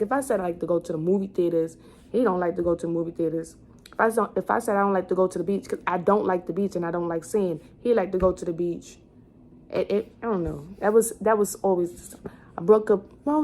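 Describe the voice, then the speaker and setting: Woman in her 20s w a lowish voice, chatty vlog, kinda rambly, bit of background noise. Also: a little mellow. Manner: rambling, thinking aloud, often restarting and correcting herself. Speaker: vlogger. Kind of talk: casual chat, answering questions to camera. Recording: clearly noisy room ambience.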